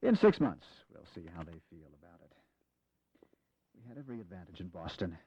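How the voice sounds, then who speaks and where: An actor playing a grave, courteous American Revolutionary general in an audio drama, speaking in a measured, formal way. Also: male voice, low-pitched, huge pitch swings, mellow, heavily acted. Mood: wry, grave. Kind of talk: dramatized history audio acting. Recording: dull, lo-fi 1980s audio drama.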